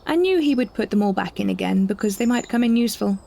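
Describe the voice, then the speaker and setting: A woman narrating a scripted first-person story in a measured, reflective manner. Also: mid-range voice, animated, creaky, dark tone. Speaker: solo performer narrating a story.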